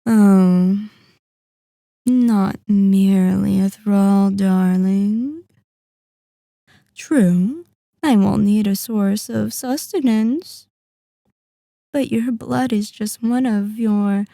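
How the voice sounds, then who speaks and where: A sadistic female vampire , acted by a woman, speaks in a dark, velvety voice at an extremely unhurried pace. The recording is dry and close, in ASMR roleplay style. She is seductive and possessive, condescending to her listener and savoring each phrase between pauses. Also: mid-range voice, steady tone, vocal fry, no room sound. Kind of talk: scripted ASMR roleplay monologue.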